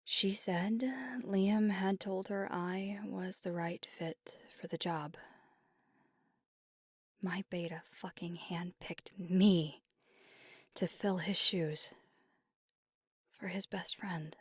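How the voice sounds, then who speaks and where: A woman playing an earnest, conflicted young werewolf girlfriend in an intimate ASMR roleplay, speaking softly and candidly, often near a whisper, close to the mic. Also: on the low side, steady tone, husky, dry recording. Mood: quietly awed. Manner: deliberate, candid, casually profane. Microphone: close microphone, dry.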